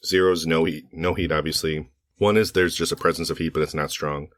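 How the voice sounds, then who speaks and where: Slightly hoarse, very deep-voiced man. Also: fairly even intonation, fairly quick, dry recording, clean studio sound. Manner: rambling, with frequent fillers and self-corrections. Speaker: podcast co-host. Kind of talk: casual podcast chat.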